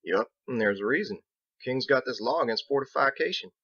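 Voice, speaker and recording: A man's voice: mid-range voice, lively intonation, brisk, a bit bright, dry recording, highs cut off, voice pushed up. A man voicing a folksy, plain-spoken mason in an audiobook, drawling rustically with dropped words. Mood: candid, folksy. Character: Biggs, folksy plain-spoken male mason, friendly and shrugging. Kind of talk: audiobook character dialogue.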